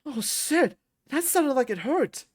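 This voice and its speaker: A woman's voice: high-pitched, sing-song, takes it slowly, no echo, clean studio sound, pitched above the performer's own voice, exaggerated voice. A woman voicing a cheeky, upbeat young male fighter who teases and encourages, in a visual novel. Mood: teasing, excited. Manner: teasing, encouraging.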